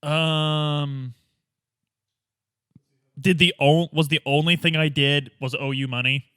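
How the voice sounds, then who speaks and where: A man's voice: a little high, steady tone, slow, a bit bright, clean tone, no echo, clean studio sound. A man playing a tabletop game, chatting informally and asking questions in casual improvised roleplay.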